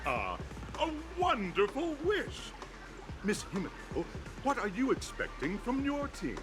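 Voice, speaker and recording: An actor playing an older, grandly nostalgic festival host in a video game, with pompous, flowery delivery. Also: male voice, high voice, huge pitch swings, a little slow, full character voice. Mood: showy, excited. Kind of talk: theatrical game voice acting. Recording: game audio with loud music underneath.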